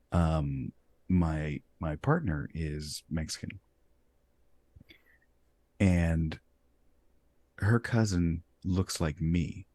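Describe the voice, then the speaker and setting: A man hosting a casual interview, talking in a relaxed way and laughing easily between points. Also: low-pitched. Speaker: host.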